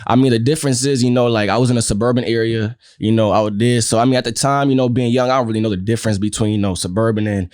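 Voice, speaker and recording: A man's voice: medium pitch, steady tone, quick pace, hardly any pauses, a little mellow, no room sound, studio quality. A young man from Connecticut, a rapper guest in a casual interview, stammering and repeating "you feel me" as he talks.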